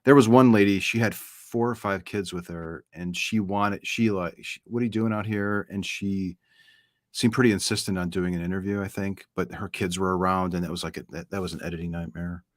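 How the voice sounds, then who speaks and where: Male co-host with a lowish voice, dry close sound, relaxed and explanatory. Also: brisk, no room sound. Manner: explanatory, uses "like" often and acts out quotes. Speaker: street interviewer, co-host. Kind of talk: casual conversational explanation.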